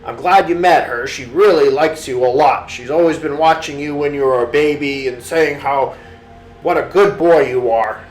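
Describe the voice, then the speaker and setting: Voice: medium pitch, moderate pace, roomy sound, soft background music, close to an everyday voice, poor audio. Storyteller doing a hearty, friendly alligator. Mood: friendly reassuring. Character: friendly helpful alligator from the swamp, gentle and reassuring.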